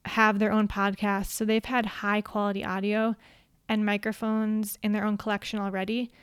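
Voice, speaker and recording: A woman with a quiet voice, hosting a podcast and reading from a prepared script at an even, measured pace into a studio microphone. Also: medium pitch, clean tone, no echo. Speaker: podcast host. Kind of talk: scripted solo talk.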